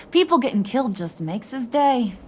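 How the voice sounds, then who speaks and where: A woman's voice: on the high side, big pitch swings, moderate pace, darkish tone, slight background noise. A woman playing a brash, kooky, flirtatious crime boss in a video game, with a slangy, teasing New delivery. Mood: brash, playful. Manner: slangy, playful, teasing, wise-cracking.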